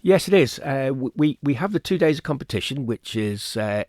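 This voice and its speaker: A man's voice: medium pitch, animated, moderate pace, a little mellow, dry recording, clean studio sound. A man speaking as an event organiser in an informal radio interview, repeating words hesitantly as he explains. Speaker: choir festival organiser, interview guest.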